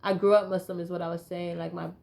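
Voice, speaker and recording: A woman's voice: on the low side, level tone, quick pace, gravelly, darkish tone. A young woman from North Carolina, a guest rapper chatting casually in slang with lots of "you feel me". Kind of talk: casual interview chat.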